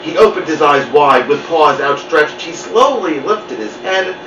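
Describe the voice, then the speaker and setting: Animated man reading a story, roomy sound. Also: a little high, measured pace. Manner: expressive, dramatic storytelling. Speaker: host, storybook reader. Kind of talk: scripted children's story narration. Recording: muffled, roomy, distant mic with noise.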